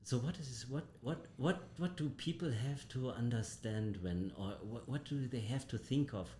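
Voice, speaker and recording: A man hosting an interview, asking questions in a halting way, repeating words as he goes. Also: darkish tone. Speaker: doctor hosting an interview show. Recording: roomy echo with faint rumble.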